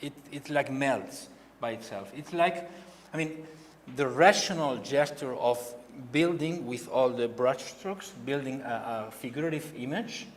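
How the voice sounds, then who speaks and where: Barcelona-accented man in an echoey hall. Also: medium pitch. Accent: Spanish, Barcelona. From Spain. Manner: halting, repeats words while searching in English. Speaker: painter. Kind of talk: gallery artist talk. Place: gallery hall. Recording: long hall reverb, faint ambience.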